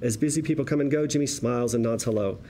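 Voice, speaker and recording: A man with a dark, mellow voice of middling pitch narrates a script. He reads the stage directions at a table read, with faint noise behind him. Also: fast. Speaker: narrator reading screenplay directions.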